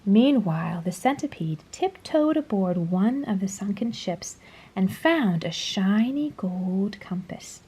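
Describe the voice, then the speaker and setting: A woman reading a children's story aloud in a dramatic, deliberate storytelling manner. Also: medium pitch, lively intonation, mellow, a little hiss or hum, low-quality recording. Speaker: storybook reader. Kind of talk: scripted children's story read-aloud, expressive.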